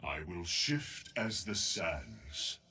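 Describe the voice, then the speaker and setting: A man voicing a proud, commanding bird emperor of the desert in a video game, declaiming in a stately, pompous way with a raspy voice. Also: low voice, huge pitch swings, extremely slow, bright, forceful tone, soft background music, exaggerated voice. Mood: regal pride. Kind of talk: video game character voice lines.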